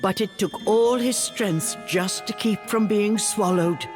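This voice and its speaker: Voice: mid-range voice, takes it slowly, music in the background. A narrator reading scripted storybook narration for a video game.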